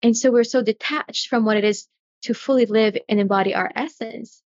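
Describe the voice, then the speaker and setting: A woman's voice: on the high side, moderate pace, a little mellow, no room sound, lo-fi sound. A woman from Brazil, a guest in an interview, talking in an earnest, searching way with many fillers and restarts. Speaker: guest, retreat facilitator. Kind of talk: reflective conversational interview.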